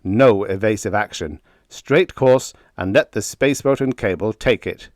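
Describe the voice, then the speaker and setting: A man voicing a gruff, bold starship commander who barks crisp military orders in an audiobook story. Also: lowish voice, moderate pace, dry recording, studio quality, close to an everyday voice. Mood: brisk, commanding. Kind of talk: dramatised audiobook character dialogue.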